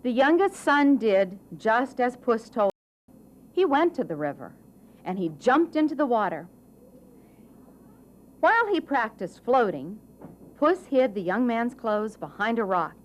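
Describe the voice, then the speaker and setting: A woman storyteller giving an expressive, deliberate scripted narration of a children's fairy tale. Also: on the high side, a little hiss or hum, poor audio.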